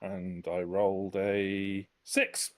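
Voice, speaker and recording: Male tabletop player, fairly deep voice, casual and tentative, reasons through his choices aloud. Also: flat delivery, drawn-out words. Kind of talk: casual game-table chat.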